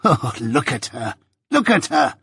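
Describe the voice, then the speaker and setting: A man's voice: medium pitch, lively intonation, fairly bright tone, breathy edge, no echo, full character voice. A man acting a wild, bitter old woman. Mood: bitter, anguished. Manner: impassioned, wailing, drawn-out cries. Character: bitter, wild, possessive old adoptive mother.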